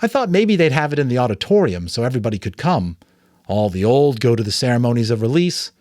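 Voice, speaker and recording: A man's voice: medium pitch, very animated, fast, darkish tone, dry recording, studio quality, natural voice. A man voicing a curious, earnest boy in a storybook reading, naive and eager.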